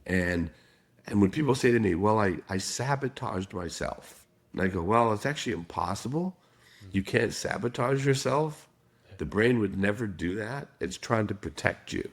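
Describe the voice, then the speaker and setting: A man with a raspy voice, a guest on a podcast, explaining his ideas calmly. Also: on the low side, normal speed. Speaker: guest, trauma specialist. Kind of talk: casual podcast interview.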